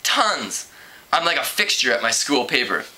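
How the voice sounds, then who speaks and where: A man's voice: medium pitch, sing-song, normal speed, sharp tone, poor audio. A man voicing an eager, earnest teenage boy copy kid in a storybook, polite and hopeful.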